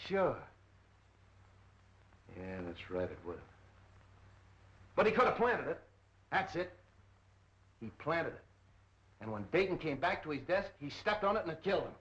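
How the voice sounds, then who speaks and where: An actor playing a brusque, impatient, sarcastic police detective in a 1930s film. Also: male voice, a little high, very animated, a little slow, long pauses, fairly bright tone. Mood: thinking aloud. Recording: dull 1930s film soundtrack.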